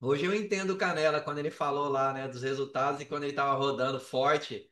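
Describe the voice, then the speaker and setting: A man with a Brazilian accent in casual podcast chat, talking in a slangy, animated storytelling way. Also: mid-range voice, quick pace. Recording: roomy echo, distant from mic.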